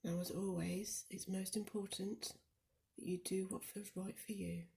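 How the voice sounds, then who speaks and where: Soft, mellow woman guiding meditation, slightly creaky. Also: mid-range voice, level tone. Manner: soft, soothing, often breathy and near-whispered; slow and deliberate with gentle pauses. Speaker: meditation guide. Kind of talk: guided body scan meditation.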